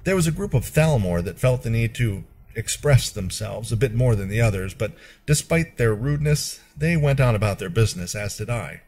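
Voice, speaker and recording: A man narrating a first-person fantasy story in a measured, expressive storyteller's delivery. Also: mid-range voice.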